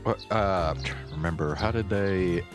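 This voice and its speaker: A man livestreaming games in casual, laid-back chat with a halting delivery. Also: deep voice. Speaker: game livestreamer. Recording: game music behind voice.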